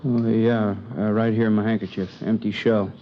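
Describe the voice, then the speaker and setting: An actor playing a practical police officer in a 1950s radio drama, casual and matter-of-fact. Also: male voice, lowish voice, little pitch movement, normal speed, close to an everyday voice. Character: practical, plain-talking juvenile division police officer. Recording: dull, noisy vintage radio drama recording.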